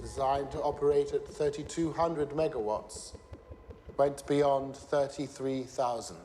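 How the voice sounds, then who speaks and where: A man acting as a grave, bitter nuclear scientist testifying at a trial in a screen drama, measured and emphatic as he explains. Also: mid-range voice, fairly even intonation, warm tone, faint music behind, lightly acted. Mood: grave, urgent.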